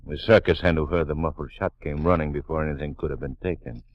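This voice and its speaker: An actor playing a sarcastic police lieutenant in a 1940s radio drama, dry and needling. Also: male voice, a bass voice, steady tone, fast talker. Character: gruff, sarcastic middle-aged police lieutenant. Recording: dull old radio transcription.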